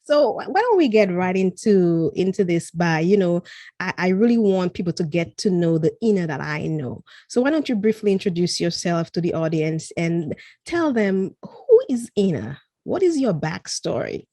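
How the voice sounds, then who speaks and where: A woman hosts an interview podcast in a low, mellow voice. She speaks effusively and gratefully, often restarting her sentences. The recording is close and dry, with no room sound. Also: sing-song, moderate pace, no room sound. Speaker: podcast host.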